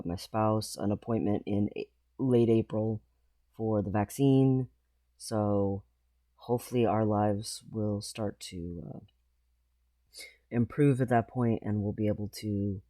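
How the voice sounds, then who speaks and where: Slow, dark-voiced man rambling. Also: on the low side, no echo. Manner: rambling, halting, with frequent pauses. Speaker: vlogger. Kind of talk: casual personal vlog.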